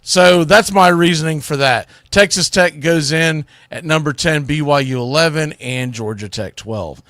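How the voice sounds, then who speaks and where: A man's voice: on the high side, moderate pace, fairly bright tone, no echo, clean studio sound. A man hosting a sports radio show, giving opinionated commentary in a blunt, emphatic, folksy way with salty slang.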